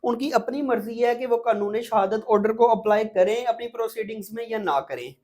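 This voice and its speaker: A man's voice: high-pitched, fairly quick, darkish tone. A man with a Pakistani accent giving an exam-prep lecture, explaining step by step as a teacher and often repeating points with little check-in words.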